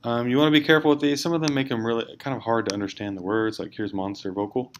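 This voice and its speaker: A man's voice: mid-range voice, fairly even intonation, quick pace. A man narrating a casual instructional screencast, explaining step by step in an informal, hesitant way. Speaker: teacher giving software tutorial. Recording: clear background noise.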